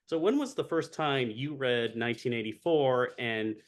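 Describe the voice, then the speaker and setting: A man's voice: mid-range voice, moderate pace. Man asking interview questions. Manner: friendly, asks long multi-part questions.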